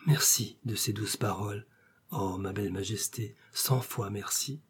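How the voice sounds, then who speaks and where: A man's voice: on the low side, measured pace, husky. A man playing a passionate, melancholy duke in love, speaking in fervent, pleading declamation for a dramatic novel reading. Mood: yearning. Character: passionate, lovestruck English duke, reckless and melancholy.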